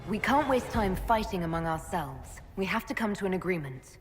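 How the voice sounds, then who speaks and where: An elven mage in command in a fantasy game. Her voice is lowish with animated pitch, firm and direct, with music behind it. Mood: determined. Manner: measured, questioning, firm. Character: composed, direct female elf mage leading an order. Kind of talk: video game voice acting.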